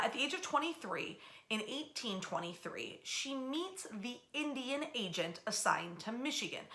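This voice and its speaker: A woman's voice: a little high, bright, forceful tone. A woman telling history informally to an audience online, conversational, enthusiastic and emphatic in her delivery. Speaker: history storyteller and tour club host. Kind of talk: informal storytelling history lecture to camera. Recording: roomy, echoey room sound.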